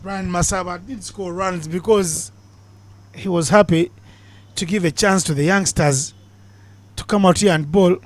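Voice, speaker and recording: Jokey high-voiced cricket commentator, dry sound. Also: male voice, unhurried, pauses often, dry recording. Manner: chatty, jokey banter with big excited calls. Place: commentary box.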